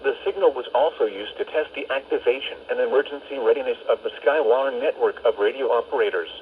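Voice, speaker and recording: A man's voice: mid-range voice, quick pace. A man reading a scripted public announcement as a weather radio announcer. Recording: tinny narrowband radio, faint noise.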